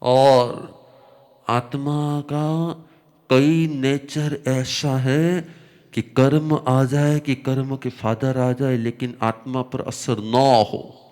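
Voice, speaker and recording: A man teaching a religious discourse, his voice slightly hoarse, explaining slowly with repetition and emphatic rises. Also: mid-range voice, fairly even intonation, a little mellow. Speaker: Jain spiritual teacher.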